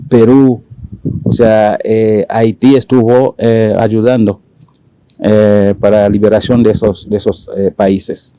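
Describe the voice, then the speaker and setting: Interview guest, 40s man from Haiti, Dominican accent, dark smooth voice, dry close sound. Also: mid-range voice, no room sound. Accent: Dominican Spanish. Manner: halting, many fillers and restarts. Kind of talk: casual conversational interview.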